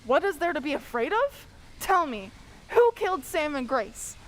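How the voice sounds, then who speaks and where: A woman playing a nervous, excitable young-sounding male helper who scares easily, in an old-time radio drama. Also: high-pitched, normal speed, low-quality recording. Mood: nervous, uneasy. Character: jittery, frightened male hall helper.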